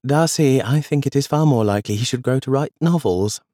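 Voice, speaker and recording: A man voicing a kind, gently teasing old grandmother talking to her grandchildren. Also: medium pitch, a little fast, no echo, clean studio sound, pitched above the performer's own voice, natural voice. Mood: fond. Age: older. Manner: gentle, amused.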